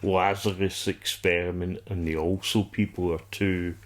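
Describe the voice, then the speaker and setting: A man co-hosting a podcast, talking casually about a TV show in a halting, rambling way. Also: low voice, flat delivery. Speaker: podcast co-host.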